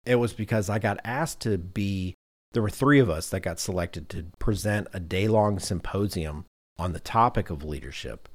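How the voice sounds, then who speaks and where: A man's voice: low-pitched, moderate pace. A man hosting a podcast in casual conversation, telling anecdotes in a self-deprecating way. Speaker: podcast host.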